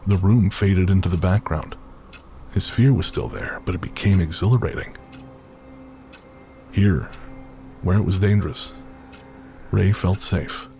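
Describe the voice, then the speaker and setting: A man's voice: sits low, a little slow, vocal fry, long pauses, mellow, slight background noise. A man narrating a scripted literary horror story for an audio drama. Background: faint music.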